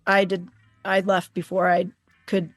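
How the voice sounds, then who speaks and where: A middle-aged woman speaking as an interview guest about academic publishing, animated and emphatic with occasional restarts. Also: fairly low pitch, measured pace, soft background music. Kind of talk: casual interview talk.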